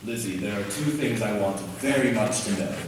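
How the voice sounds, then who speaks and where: A man playing a wry, self-reproaching older gentleman father in an amateur period stage play, with formal, deliberate delivery. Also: on the low side, close to an everyday voice. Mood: wry resignation. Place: theatre stage, miked from afar. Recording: echoey hall, distant mic, faint noise.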